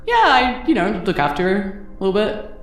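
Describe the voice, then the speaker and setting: A woman's voice: mid-range voice, sing-song, brisk, reverberant, lightly acted. A woman with a New Zealand accent voicing a simple, friendly male cloud servant who rambles naively in a tabletop game. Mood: laid-back.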